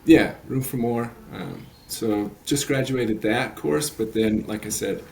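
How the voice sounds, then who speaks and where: A man's voice: mid-range voice, slow pace, gravelly, darkish tone, slight background noise. A man in his late thirties, a coach talking as a podcast guest, earnest and rambling with many fillers and restarts. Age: 30s. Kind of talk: podcast interview.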